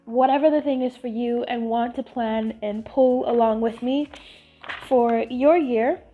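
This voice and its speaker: A woman's voice: on the high side, steady tone, measured pace, a little hiss or hum. Woman, sniffly congested voice, rambling casual chat, tinny phone-like sound. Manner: chatty and rambling, with fillers and restarts. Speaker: channel host.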